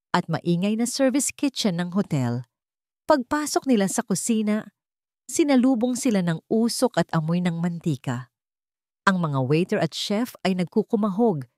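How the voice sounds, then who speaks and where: A woman's voice: medium pitch, a little mellow, no echo, studio quality. A woman reading a scripted dramatic story as an audiobook narrator.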